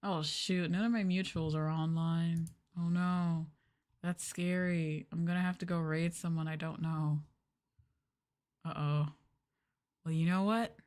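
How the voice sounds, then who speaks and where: Slow, low-pitched woman chatting softly. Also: steady tone. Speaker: VTuber streamer, host. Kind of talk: casual ASMR chat livestream.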